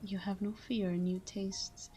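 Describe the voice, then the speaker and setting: Woman playing a soft, dark-toned, half-whispering vampire lover. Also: medium pitch, little pitch movement, lightly acted. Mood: hushed, reminiscing. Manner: slow, hushed, wistful delivery. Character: tender, devoted, melancholy immortal vampire woman lover. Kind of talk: intimate ASMR sleep-aid roleplay monologue. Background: soft background music.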